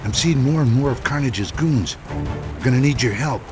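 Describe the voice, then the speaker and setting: A man's voice: mid-range voice, moderate pace, close to an everyday voice. A man playing a stern, protective, middle-aged leader of masked vigilantes in a video game, commanding and dry. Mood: dry, watchful. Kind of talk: scripted video game voice acting. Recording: music behind game dialogue.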